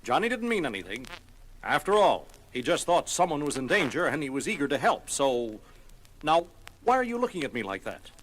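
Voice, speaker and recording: An actor playing an articulate, patient scientist and team leader with measured, formal diction, in a 1970s superhero audio drama. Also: male voice, a little high, very animated, a bit bright, a little hiss or hum, low-quality recording. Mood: placating.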